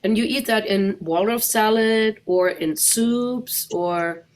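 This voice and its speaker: A woman's voice: mid-range voice, slow, a little hiss or hum. A middle-aged woman with a German accent, a guest speaker walking a club audience through slides in a chatty way and asking them questions.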